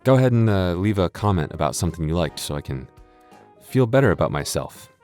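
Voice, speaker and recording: A man's voice: low voice, sing-song, fairly quick, darkish tone, faint music behind. A man chatting casually and jokingly to his listeners as an audio creator, hesitant and self-deprecating. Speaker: audio roleplay creator and voice actor.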